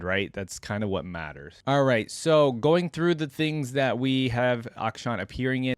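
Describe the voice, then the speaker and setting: A man's voice: medium pitch, dry recording. A man giving casual reaction commentary, reading lore aloud and breaking into informal asides. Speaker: reaction video host.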